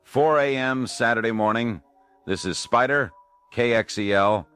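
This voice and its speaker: A man playing a weary but steady late-night radio host in an audio drama, plain and low-key. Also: a little slow, clean tone, faint music behind, close to an everyday voice. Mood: weary, uneasy.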